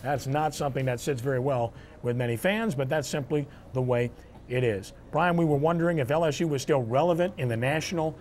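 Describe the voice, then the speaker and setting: A man's voice: mid-range voice, brisk, a little mellow, a little hiss or hum. A man hosting a sports talk show, delivering commentary and discussion like an anchor. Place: on location at a restaurant.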